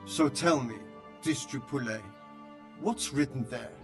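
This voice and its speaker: A man's voice: medium pitch, measured pace, over music, natural voice. A man playing a learned, encouraging teacher in a medieval video game, speaking in an erudite, theatrical manner. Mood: amused, prompting. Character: learned, encouraging male scribe tutoring a young man.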